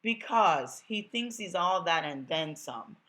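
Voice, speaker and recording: A woman's voice: on the low side, lively intonation, slow, fairly bright tone. A Jamaican woman doing a sassy legal secretary. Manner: sassy, teasing. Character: sassy, outspoken young female legal secretary.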